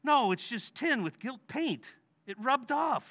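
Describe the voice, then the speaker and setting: A man's voice: extremely high pitch, lively intonation, measured pace, a bit bright, exaggerated voice. A man acting a hapless, guilt-ridden working-class husband in an old-time radio comedy, flustered, earnest and pleading. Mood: worried, pleading.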